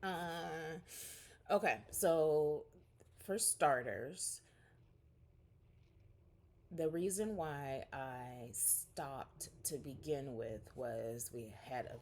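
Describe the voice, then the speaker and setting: A woman in her forties talks to her vlog audience from home in a candid, rambling, chatty way. Her voice sits low, and a faint room hum runs underneath. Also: a little mellow. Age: 40s. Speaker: vlogger. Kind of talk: casual catch-up vlog.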